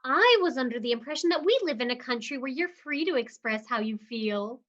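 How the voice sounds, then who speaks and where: A woman's voice: sits high, animated, brisk, fairly bright tone. A woman playing a sarcastic, defiant young patient. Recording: slightly dull lo-fi livestream audio.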